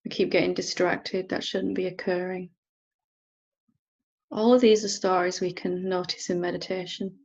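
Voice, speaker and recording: Female meditation teacher: soft instruction, level intonation, creaky texture, lingering pauses, somewhat muffled sound. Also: fairly low pitch, little pitch movement. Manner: unhurried, gentle, with long pauses. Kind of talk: guided meditation, softly instructing.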